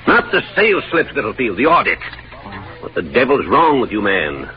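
Pompous, barking older boss. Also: male voice, medium pitch, huge pitch swings, moderate pace. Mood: outraged. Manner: pompous, barking, clipped commands. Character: pompous, demanding older male boss, irascible. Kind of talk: 1940s radio thriller acting. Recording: dull old radio recording with music.

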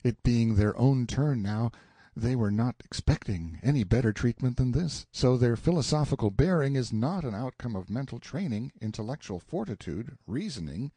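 Male audiobook narrator, dark warm tone, husky edge, animated intonation, measured literary storytelling, dry recording. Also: mid-range voice, no echo. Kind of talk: scripted literary narration of a novel.

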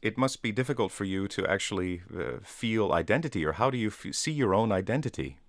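A man hosting a radio interview, reading an introduction and asking measured questions. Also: fairly low pitch, expressive, no room sound, clean studio sound. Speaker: radio host.

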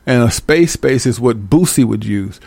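Man, radio host and producer, gravelly voice, dry close sound, loose and playful delivery. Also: mid-range voice, animated, normal speed, a little mellow, dry recording. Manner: loose and playful, mimics drum sounds, swears, laughs often. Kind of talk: casual talk about making a song.

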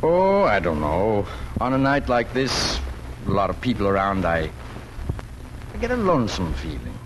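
Gentle, wistful middle-aged farmer dad, folksy and hesitant, expressive pitch, 1940s radio play sound. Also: male voice, normal speed, noisy background. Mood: tender, wistful. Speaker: radio drama actor. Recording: old 1940s radio broadcast with music.

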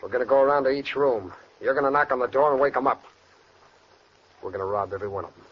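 An actor playing a ruthless, bossy armed robber in a 1940s radio crime drama, talking in rough, threatening slang. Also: male voice, medium pitch, fast talker, close to an everyday voice. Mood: menacing. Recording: noisy muffled old radio recording.

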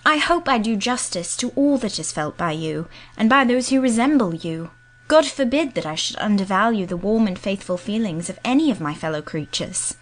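Woman reading as a gentle, heartfelt young woman. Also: mid-range voice, close to an everyday voice. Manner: measured, earnest and heartfelt. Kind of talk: audiobook character reading.

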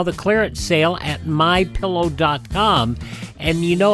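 A man's voice: fairly high pitch, fairly bright tone. A man hosting a radio program, presenting and reading ads in a scripted style. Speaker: radio host.

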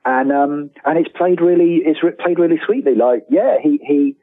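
A man gives casual interview answers over a thin, roomy phone line. His voice is husky and on the low side. He often restarts and rephrases as he works through a thought. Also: lively intonation. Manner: halting, rephrases and repeats words as he thinks aloud. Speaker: guest author and lifelong fan. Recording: tinny, roomy telephone-like line.

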